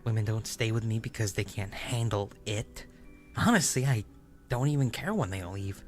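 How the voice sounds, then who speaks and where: A man playing an obsessive, unhinged narrator in a horror story, speaking in a deliberate, halting, confessional way with stammered repeats. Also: fairly low pitch, natural voice. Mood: obsessive, confiding. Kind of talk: dramatic first-person horror story reading. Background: music.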